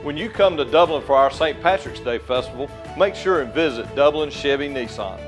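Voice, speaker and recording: Higher-voiced male ad announcer over music. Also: animated, a little fast. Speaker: commercial announcer. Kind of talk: upbeat scripted car dealership advertisement. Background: background music.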